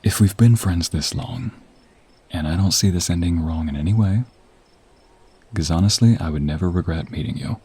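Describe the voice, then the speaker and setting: A man playing a confident, flirty office coworker in a romantic audio role play, with a smooth-talking, teasing, intimate manner. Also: deep voice, moderate pace, darkish tone, exaggerated voice. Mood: persuasive, warm.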